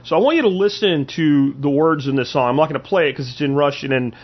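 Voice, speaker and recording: A man's voice: on the high side, fast talker, dull audio. A man hosting a podcast, delivering an opinionated political monologue in an emphatic way, with rhetorical questions and repeated phrases. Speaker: podcast host.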